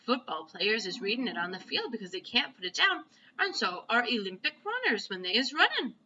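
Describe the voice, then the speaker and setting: A woman voicing an excitable, boastful child who tells wild dreams in broken grammar for a children's storybook. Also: on the high side, brisk, muffled recording, slight background noise. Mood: boastful, excited. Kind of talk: children's storybook read-aloud.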